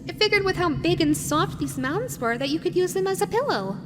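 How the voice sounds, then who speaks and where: A woman's voice: very high-pitched, expressive, a little fast, background noise. A woman voicing a sweet, teasing female animatronic fox made for children, in an intimate roleplay audio addressed to the listener. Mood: playful, coaxing. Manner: playful cooing, drifting into wistful musing. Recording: long reverberant hall echo. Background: music.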